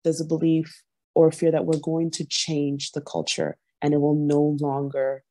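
A woman's voice: deep voice, monotone, measured pace, dark tone, dry recording. A woman in her thirties from Nigeria, speaking earnestly as a podcast guest over a video call, often laughing as she tells her story. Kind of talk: candid interview conversation. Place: home room, indoors.

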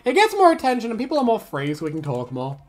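A woman's voice: on the high side, huge pitch swings, fast talker. A young woman chatting on a stream in a laid-back, grumbling way, swearing now and then. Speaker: streamer and artist. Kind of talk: casual stream chat.

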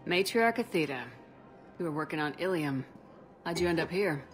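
A woman's voice: lowish voice, lively intonation, normal speed, faint music behind, close to an everyday voice. A woman playing a composed, resolute military commander in a video game. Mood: businesslike.

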